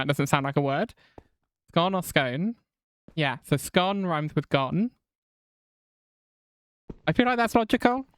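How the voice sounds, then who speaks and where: A woman's voice: lowish voice, slight background noise. A woman with a mixed southern and northern English accent, chatting casually while streaming a game and swearing now and then. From England. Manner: chatty, swears casually, reads chat aloud.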